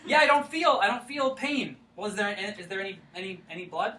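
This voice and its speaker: An earnest, innocent man played by an actor in a staged reading, bright in tone. He explains things literally and haltingly, repeating himself like a child. The sound is roomy and distant, with faint noise. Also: high voice, lively intonation. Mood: insistent, vulnerable. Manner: literal-minded, halting, repetitive. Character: earnest, naive, childlike man who feels no pain. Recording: echoey room, distant mic, faint noise.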